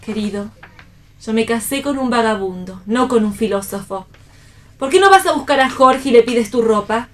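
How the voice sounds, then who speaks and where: A woman's voice: a little high, expressive, normal speed, fairly bright tone, a little hiss or hum. A woman acting a proud, idealistic, wounded young bride in a play reading for radio, delivered with dramatic emotion. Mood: reproachful.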